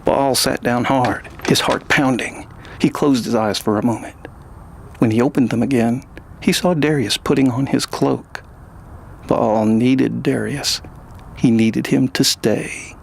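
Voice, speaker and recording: A man reading scripted fiction as the narrator, in a gravelly, husky voice. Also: medium pitch, steady tone, a little slow, a little hiss or hum.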